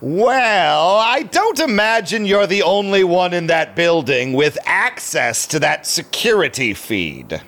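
An actor playing a smooth, shady vampire businessman in an audio drama, speaking in a measured, self-satisfied, commanding way. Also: sits high, sing-song, fairly bright tone, heavily acted. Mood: polite, probing.

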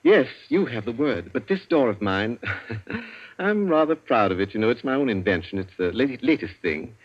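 A smug captive inventor with a husky, mid-pitched voice, drawling and mocking, never in a hurry. He sounds like a 1940s radio play heard through a dull, lo-fi vintage recording. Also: sing-song, moderate pace. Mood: sly. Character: smug, urbane male inventor held captive.

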